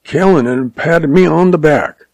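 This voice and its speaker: A man reading aloud, voicing a sullen, boastful boy in a raised pitch. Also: a little slow, vocal fry, a little mellow, no room sound. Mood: sulky.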